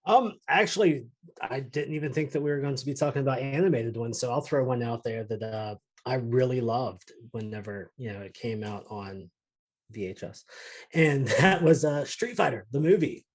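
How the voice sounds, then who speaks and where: Slightly husky man in casual chat. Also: medium pitch, moderate pace. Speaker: co-host of a gaming chat show.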